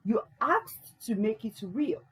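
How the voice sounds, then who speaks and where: Defiant cheating husband voiced by a woman reader, husky, lively pitch. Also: slow pace, full character voice. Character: cornered husband, defiant and threatening.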